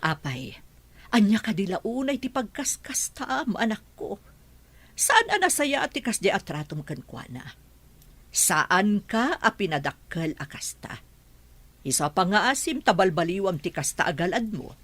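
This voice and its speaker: A woman's voice: medium pitch, big pitch swings, measured pace, fairly bright tone, breathy edge, dry recording, exaggerated voice. An actress with an Ilocano accent playing a stern mother who lectures her daughter firmly. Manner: firm, lecturing. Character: stern scolding middle-aged mother.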